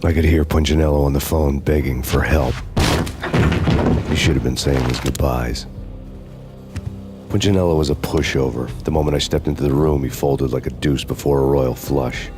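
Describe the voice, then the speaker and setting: An actor playing a grim, world-weary, vengeful hard-boiled narrator in a noir game, brooding and deadpan. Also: male voice, extremely low pitch, moderate pace, over music. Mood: wry, cold.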